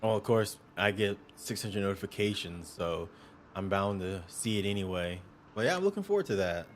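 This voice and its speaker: A young man streaming a game, chatting casually with viewers in a smooth, upbeat, joking way. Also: fairly low pitch, animated, moderate pace, slight background noise. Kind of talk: casual livestream chat.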